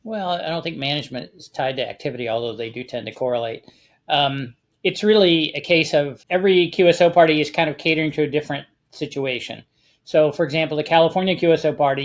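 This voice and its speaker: A man with an American accent talking casually and explaining a hobby step by step, with a measured, conversational manner. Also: a little high. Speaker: amateur radio contest guest expert.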